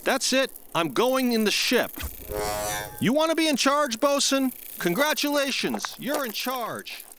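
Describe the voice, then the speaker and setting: A man's voice: very high voice, very animated, measured pace, noisy background, full character voice. A man playing a curt, complacent spaceship chief giving orders in a radio comedy. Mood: indignant. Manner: commanding, curt. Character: testy, complacent male spaceship chief in command. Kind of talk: old-time radio parody acting.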